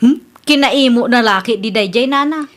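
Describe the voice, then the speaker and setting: A sharp-tongued, resentful wife played by an actress in an Ilocano Filipino radio comedy drama. She has a very high voice and a scolding, complaining delivery. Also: normal speed, lightly acted.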